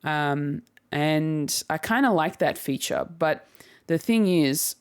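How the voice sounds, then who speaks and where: Young adult solo podcast host, slow and reflective, rambling with laughs, dry close-miked sound. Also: mid-range voice, smooth, no echo. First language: Turkish heritage household, speaks English at home. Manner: reflective and rambling, frequent laughs and self-corrections. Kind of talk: casual solo podcast monologue.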